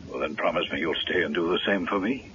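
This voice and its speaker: Obsessed widower dad, low theatrical voice, old muffled radio drama recording. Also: male voice, quick pace, fairly bright tone, slight background noise. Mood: urgent pleading. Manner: formal, ornate, theatrical phrasing. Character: grieving, obsessive widowed father, a reclusive doctor. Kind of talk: 1970s radio drama acting. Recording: dull lo-fi vintage radio recording.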